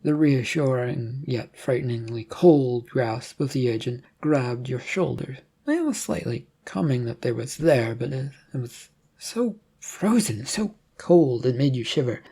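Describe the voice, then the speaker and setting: Guy narrating a horror tale, mellow voice kinda raspy, stumbles a bit. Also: mid-range voice, sing-song, unhurried, few pauses. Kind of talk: second-person horror story narration.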